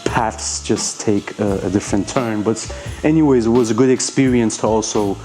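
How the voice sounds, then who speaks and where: A man's voice: on the low side, normal speed, warm tone, soft background music. A man from Iran whose first language is Persian, a rapper answering interview questions in a hesitant way, with many fillers and restarts.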